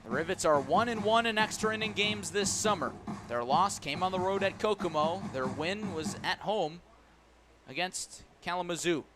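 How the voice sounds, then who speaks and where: A man's voice: sits high, lively intonation, normal speed, over music. A man calling baseball play-by-play live on the radio from a ballpark, punching up the big moments. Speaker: play-by-play announcer. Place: outdoor ballpark broadcast.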